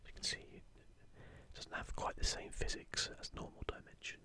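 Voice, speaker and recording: A man speaking in a breathy, unvoiced whisper, giving soft ASMR commentary as he hosts a video. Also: moderate pace.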